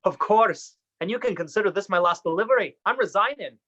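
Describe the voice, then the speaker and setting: A woman's voice: mid-range voice, animated, brisk, fairly bright tone, slightly rough. A woman acting in a radio play as a principled, distressed young male medical apprentice, speaking earnestly and formally, on dull lo-fi audio. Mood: indignant, distressed. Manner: earnest, formal, dramatic delivery.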